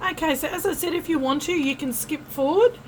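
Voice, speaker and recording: An Australian woman giving a casual tarot reading to camera, chatty and friendly, often pausing with fillers and restarting. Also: high voice, a little hiss or hum, low-quality recording. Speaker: tarot reader.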